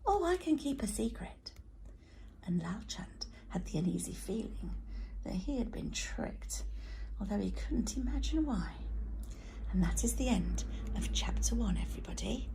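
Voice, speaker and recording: A woman's voice: medium pitch, expressive, normal speed, gravelly, whispered, rough recording. A woman teacher reading a children's storybook aloud as narrator.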